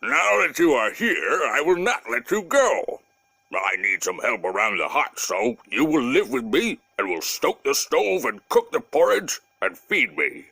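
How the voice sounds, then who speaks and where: A man voicing a huge, gruff, bossy and gullible male bear in a children's storybook tale, with an emphatic, demanding delivery. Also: mid-range voice, big pitch swings, a little slow, pushed and bright, no echo, heavily acted. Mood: gloating, bossy.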